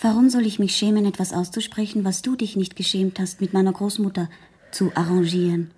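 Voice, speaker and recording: A woman's voice: mid-range voice, fairly even intonation, brisk, mellow, slightly rough, lightly acted. An actress playing an outspoken, proud teenage girl in a German radio play, speaking earnestly and frankly. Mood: earnest, firm.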